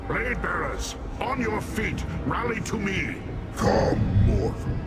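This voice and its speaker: A man voicing a mighty, proud winged beast rallying warriors in a fantasy video game, declamatory, over loud music. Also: high-pitched, huge pitch swings, takes it slowly, heavily acted. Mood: commanding, triumphant. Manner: commanding, declamatory.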